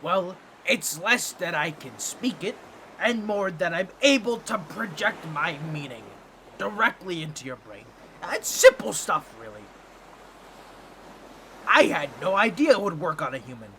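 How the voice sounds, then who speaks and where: A man voicing an excitable, boastful talking octopus in a comedic audio roleplay, with a nasal, squawky voice and a fussy, theatrical, pompous way of talking to the listener. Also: sits high, a little slow, slight background noise, exaggerated voice. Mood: sly, flattering.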